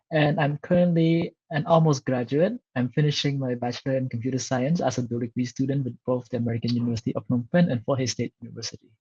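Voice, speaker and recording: A man in his twenties from Cambodia, a Khmer speaker, answering interview questions in a steady, articulate way. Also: mid-range voice, slightly rough, dry recording, lo-fi sound. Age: 20s. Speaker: guest, student.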